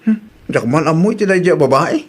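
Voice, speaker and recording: A man's voice: on the high side, animated, normal speed, breathy edge. A man with a Filipino Ilocano accent playing a stern, exasperated widowed father in a drama. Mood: wary, annoyed. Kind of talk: Ilocano radio-style drama acting.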